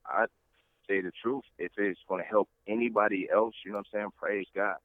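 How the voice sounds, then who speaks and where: A man with a very deep, low voice comes through a thin, tinny phone line. He talks in a rambling, preacherly way, full of fillers and restarts, and the sound is close and dry with no room around it. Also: fairly even intonation, a little fast, no room sound. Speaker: interview guest. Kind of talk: casual interview talk.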